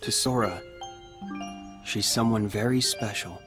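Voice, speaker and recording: Casting: man as brooding young game hero, deep and reserved, slow understated delivery, music underneath. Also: close to an everyday voice. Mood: somber, solemn. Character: guarded, brooding young male loner with quiet loyalty. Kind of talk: video game cutscene acting. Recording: music behind.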